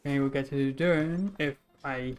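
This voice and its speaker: A woman's voice: rumbling low, normal speed, soft background music. A woman chatting casually as she streams a video game. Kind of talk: casual gameplay chat.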